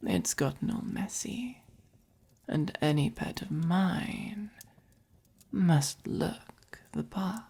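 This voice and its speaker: Soft dom vampire for ASMR roleplay, female performer, English accent, breathy whisper with a creaky edge, unhurried, possessive yet tender. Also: lowish voice, animated, full character voice. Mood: coaxing, tender. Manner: slow, coaxing, possessive. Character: doting, possessive, gently dominant vampire owner of a human pet.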